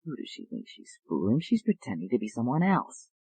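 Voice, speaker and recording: A man's voice: mid-range voice, wildly swooping pitch, brisk, darkish tone, slightly rough, no room sound, pitched below the performer's own voice, heavily acted. A narrator voicing a skeptical, bewildered young man muttering his thoughts in an audiobook. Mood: baffled. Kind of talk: audiobook character voice.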